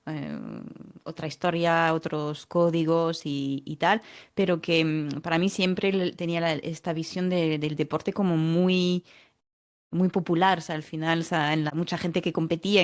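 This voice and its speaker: French woman host, native french speaker, slightly low voice, chatty interview, kinda halting and rambly. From France. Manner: halting, roundabout phrasing with repetitions. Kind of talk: casual conversational interviewing.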